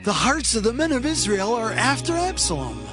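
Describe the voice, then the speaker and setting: A high-voiced, animated messenger. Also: male voice, normal speed. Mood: alarmed. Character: alarmed male messenger bringing bad news. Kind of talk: dramatized scripture audiobook acting. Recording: music under the voice.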